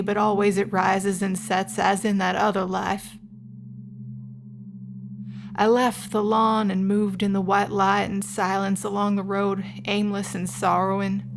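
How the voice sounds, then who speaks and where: Over a noisy ambient background comes a woman playing the ghost of a murdered wife, full of sorrow. She speaks at a middle pitch in solemn, measured, formal phrases, as in a gothic literary audio drama.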